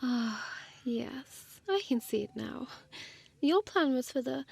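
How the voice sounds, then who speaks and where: A woman playing a small, deadly female vampire in an intimate audio roleplay, teasing and condescending in formal words, breathy and often dropping to a whisper. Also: sits high, mellow.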